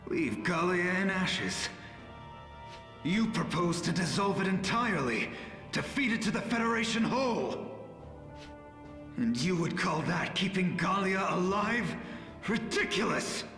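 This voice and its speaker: A ruthless young nobleman with a clipped, contemptuous delivery. He speaks slowly and deliberately, his voice ringing in a roomy reverberant space. Quiet game music hums beneath him. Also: sits high, expressive, full character voice. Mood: indignant. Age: young adult. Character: young male aristocrat, cold ruthless nationalist zealot. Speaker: game character. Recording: reverberant with game score behind.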